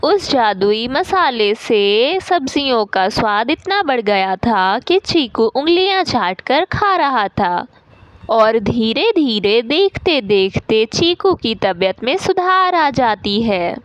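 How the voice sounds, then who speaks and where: Slow-paced woman narrating a children's story. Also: fairly high pitch, big pitch swings, dry recording, muffled recording.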